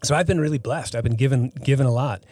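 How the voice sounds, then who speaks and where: A middle-aged man from the Boston area, a physician and health center CEO, answering interview questions thoughtfully with many pauses and restarts. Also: mid-range voice, a little mellow, no echo. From Boston area, United States. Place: studio.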